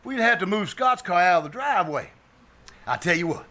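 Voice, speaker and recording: A man's voice: sits high, expressive, brisk, pushed and bright. A man playing a breezy, self-satisfied bandleader in a live re-enacted 1940s radio comedy, folksy and grandly self-important. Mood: casual. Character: breezy, self-satisfied married bandleader, a genial wisecracking peacemaker.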